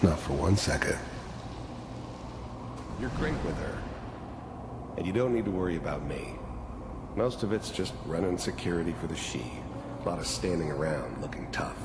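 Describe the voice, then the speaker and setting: An actor playing a world-weary, hardened mercenary in a video game, gravelly and brooding, haunted by his past. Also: expressive, reverberant, heavy background noise. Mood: reassuring. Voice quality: deep, gravelly. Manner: brooding, bitter, halting. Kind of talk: reflective voice-over and dramatic dialogue.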